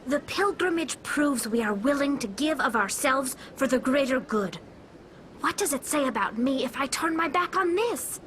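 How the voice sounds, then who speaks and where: A woman's voice: sits high, lively intonation, moderate pace, fairly bright tone, a little hiss or hum. A woman voicing a young alien pilgrim in a video game, earnest and determined as she explains herself. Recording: music under the voice.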